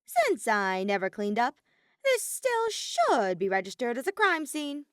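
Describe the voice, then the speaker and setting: A woman's voice: fairly high pitch, wildly swooping pitch, unhurried, fairly bright tone, dry recording, clean studio sound, voice pushed up, full character voice. A woman voicing a mocking, evasive robot bear villain in a fan fiction audiobook, taunting and dismissive. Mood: matter-of-fact. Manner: taunting, sing-song, dismissive.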